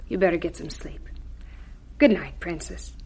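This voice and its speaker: A concerned, gentle mother, talks with a very lively rise and fall. She soothes and gently scolds in the manner of a radio play. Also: lowish voice, moderate pace, slightly rough, in a whisper, heavily acted, rough recording. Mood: soothing. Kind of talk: radio drama acting.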